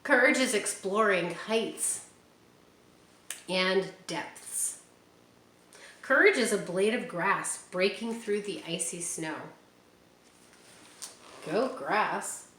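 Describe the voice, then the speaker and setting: A woman reading a picture book aloud to children, stopping often for gentle, chatty asides. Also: mid-range voice, slow pace, long pauses. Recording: echoey room with faint hiss.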